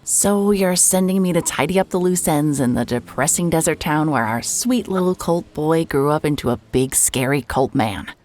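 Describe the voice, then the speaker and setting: An actress playing a sardonic, hard-hearted private investigator who talks in dry, wisecracking sarcasm. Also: female voice, deep voice, expressive, normal speed, few pauses, darkish tone, slight background noise. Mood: sarcastic. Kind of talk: audio drama acting and first-person narration.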